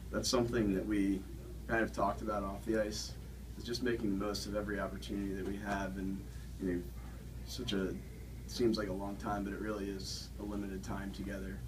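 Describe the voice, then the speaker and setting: Young man, college player interview, halting delivery, deep voice, clearly noisy room recording. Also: level tone. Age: early 20s. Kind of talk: post-game interview answers. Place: small press room, at a table. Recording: noisy, distant microphone.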